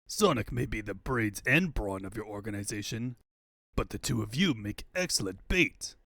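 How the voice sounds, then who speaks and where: A man voicing a pompous, gloating cartoon tyrant with a husky voice and mock politeness in a comic dub. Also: lowish voice, expressive, normal speed, fairly bright tone, no room sound, clean studio sound. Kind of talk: cartoon-style comic fandub.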